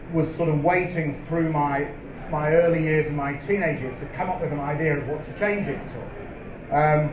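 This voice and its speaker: A middle-aged man, telling stories in a live on-stage interview, measured and full of ums and restarts. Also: fairly high pitch. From England. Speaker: interviewee, company founder. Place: event hall with a live audience. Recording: roomy, dull live hall recording with noise.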